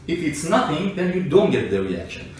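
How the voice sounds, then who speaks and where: A man with a slightly high voice gives an informal, argumentative explanation. He sits far back from the mic in a very reverberant room, talking through simple everyday examples. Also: sing-song, moderate pace, a little mellow. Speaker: explainer, self-described engineer and scientist. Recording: echoey room, distant mic, faint noise.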